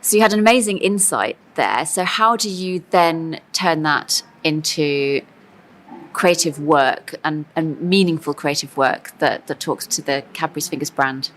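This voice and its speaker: A woman's voice: low voice, measured pace, a bit bright, slight background noise. A woman hosting a podcast interview, asking encouraging follow-up questions in a conversational style. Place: conference venue.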